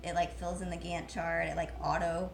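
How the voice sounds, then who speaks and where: Hoarse chatty woman, windy outdoors. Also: lowish voice, little pitch movement, normal speed, echoey room. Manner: chatty, rambling, lots of fillers. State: hoarse, losing her voice. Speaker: vlogger. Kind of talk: casual chat. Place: outdoors in wind.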